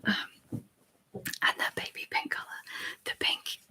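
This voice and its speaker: A woman hosting a whispered ASMR live chat, reading viewer comments aloud and softly repeating phrases over and over. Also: unhurried. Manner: reads viewer comments aloud and answers them. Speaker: ASMR livestream host.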